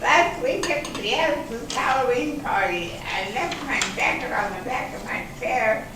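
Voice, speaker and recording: A woman's voice: fairly high pitch, animated, measured pace. A woman playing a defensive, flustered robbery suspect in an amateur readers theater reading. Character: defensive, flustered woman suspect, night-shift respiratory therapist. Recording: very echoey hall, distant mic, noisy.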